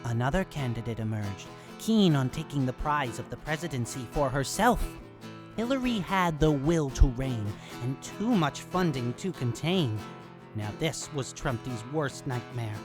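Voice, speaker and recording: A woman's voice: a bass voice, animated, a little slow, over music. A woman narrating a rhyming storybook tale in a theatrical manner.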